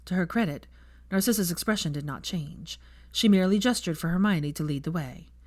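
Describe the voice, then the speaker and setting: A woman's voice: fairly low pitch, a little fast, no echo. A woman narrating a story from a script as an audiobook-style reader of fan fiction.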